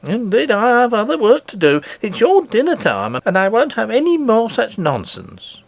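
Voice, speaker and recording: A man voicing a sceptical, scolding woman nursemaid in a storybook, brisk, dismissive and sarcastic. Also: high-pitched, wildly swooping pitch, vocal fry, no echo, voice pushed up, heavily acted. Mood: cold, vexed.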